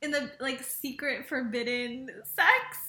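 A woman co-hosting a casual podcast, chiming in with quick comments and loud laughs. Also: high-pitched. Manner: chatty, chimes in, loud laughs. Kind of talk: casual chat. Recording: roomy echo.

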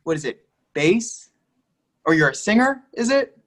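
Actor as a brash, needling bar buddy. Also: male voice, high voice, very animated, unhurried, fairly bright tone, exaggerated voice. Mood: chatty, probing. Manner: chummy, teasing, pushy. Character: brash, needling, over-familiar bar-going man. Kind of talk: play reading.

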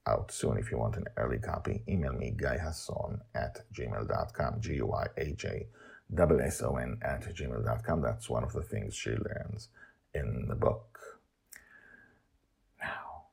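A very deep-voiced man presents a storytelling podcast. His voice crackles with fry, and he swings widely in pitch as he stresses his phrases and leaves dramatic pauses. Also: darkish tone. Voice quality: gravelly, husky. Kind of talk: animated podcast hosting and scripted storytelling.

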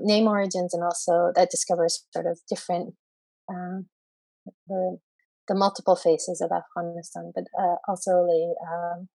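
A woman speaking as a guest poet on a discussion panel, pausing often with fillers and restarting her thoughts. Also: on the low side, warm tone, no echo, poor audio. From grew up in Saudi Arabia and the US. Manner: hesitant, many fillers and restarts.

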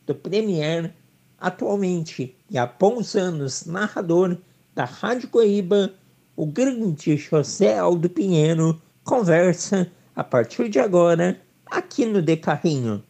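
A man with a fairly high voice hosts a sports radio program. He speaks in an upbeat announcer manner, with formal introductions and questions. His accent is southern Brazilian. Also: animated, takes it slowly, vocal fry, dark tone. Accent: southern Brazilian Portuguese.

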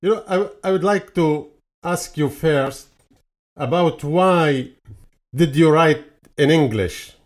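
A man hosting an interview, reading a formal introduction of his guest and asking questions deliberately. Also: fairly high pitch. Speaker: interview host.

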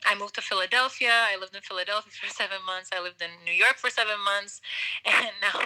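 Bright-voiced woman from Puerto Rico. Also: mid-range voice, a little fast, poor audio. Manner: relaxed, laughs often, talks with fillers. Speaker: musician guest. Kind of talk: casual interview conversation.

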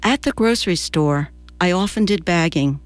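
Woman, 60s, American, radio essayist. Measured storytelling read, slightly low register, dry studio sound. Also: animated, no room sound. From New Jersey, United States. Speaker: radio commentator and presenter.

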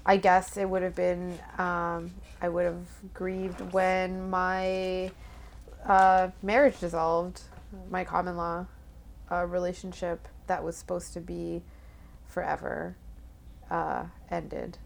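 A woman's voice: lowish voice, level tone, takes it slowly, gravelly, slight background noise. A woman, speaking candidly and frankly in casual conversation. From Canada. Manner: candid, frank, hesitant fillers.

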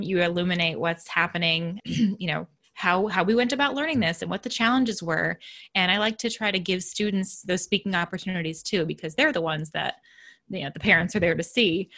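A soft-spoken woman with a fairly low voice, chatting about her teaching in a relaxed interview. She thinks aloud as she goes, circling back on her words before landing the point. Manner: reflective, thinks aloud with restarts and light laughs. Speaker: elementary music teacher. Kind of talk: casual conversational interview.

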